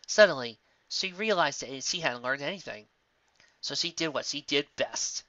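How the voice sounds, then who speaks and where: Woman narrator, lively intonation, lowish pitch, amateur story reading, dry close sound, slightly dull recording. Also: moderate pace, dry recording.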